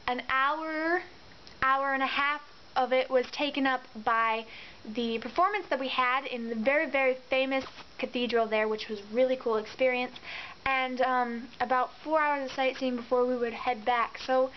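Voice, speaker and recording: Chatty high-voiced young woman vlogger. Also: muffled recording, slight background noise. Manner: chatty, repeats words for emphasis. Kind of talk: casual travel vlog narration.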